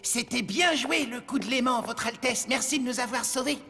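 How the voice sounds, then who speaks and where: A woman's voice: a little high, normal speed, creaky, sharp tone, faint music behind. A woman voicing a small, cheeky, spirited creature hero in a cartoon, playful and polite. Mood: friendly, playful. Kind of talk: French cartoon fairy-tale dub.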